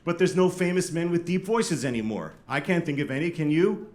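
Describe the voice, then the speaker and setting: A man's voice: fairly high pitch, brisk. A middle-aged man doing a stand-up set, talking to the crowd in a dry, self-deprecating, chatty way. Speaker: stand-up comedian. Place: comedy club stage with a laughing audience. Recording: roomy club sound with loud background.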